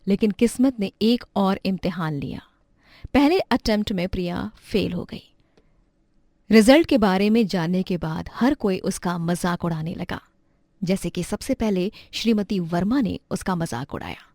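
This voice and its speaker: Calm female story narrator. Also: medium pitch, moderate pace, dry recording, clean studio sound.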